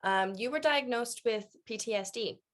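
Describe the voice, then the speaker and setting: A woman's voice: a little high, moderate pace, fairly bright tone. Encouraging woman hosting an interview. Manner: encouraging, attentive listener. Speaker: podcast interviewer, host. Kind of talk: conversational interview.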